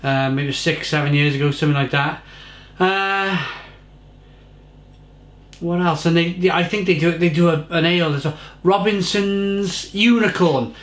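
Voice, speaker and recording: A man's voice: on the high side, unhurried, fairly bright tone. Chatty man, roomy kitchen sound. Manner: chatty, repeats words, gestures with hands. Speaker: beer reviewer. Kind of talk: casual beer review. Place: home kitchen, indoors. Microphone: fixed camera at desk.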